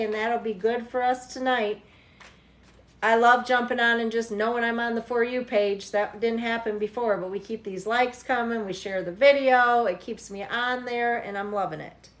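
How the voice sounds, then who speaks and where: A woman's voice: a little high, fairly even intonation, normal speed, roomy sound. A tired woman in her forties reading tarot live for viewers, chatting casually, teasing them and often laughing. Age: 40s. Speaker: tarot reader hosting a livestream. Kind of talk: casual livestream chat with viewers.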